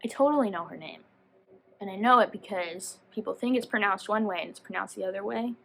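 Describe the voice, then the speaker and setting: A woman giving a casual, chatty book review as a vlogger, animated and slangy, often rambling and interrupting herself. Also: mid-range voice, fairly quick, vocal fry, a little hiss or hum.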